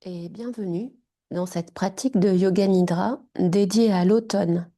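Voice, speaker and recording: A woman guiding a yoga nidra relaxation in short, hushed, soothing phrases. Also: medium pitch, unhurried. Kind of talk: guided meditation.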